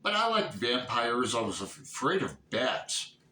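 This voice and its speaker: A middle-aged or older man with a Midwestern American accent, chatting casually to viewers in a halting, digressive, self-questioning way. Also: medium pitch, sing-song, sharp tone. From Toledo, Ohio, United States. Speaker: book vlogger hosting his channel. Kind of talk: casual rambling chat to viewers. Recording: echoey room, clear background noise.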